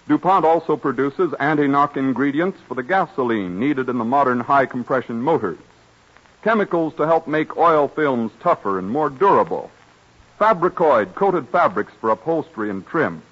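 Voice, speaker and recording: A man's voice: mid-range voice, fairly bright tone, lo-fi sound. A man reading a scripted sponsor message as a 1930s radio announcer, formal and polished, on a vintage recording.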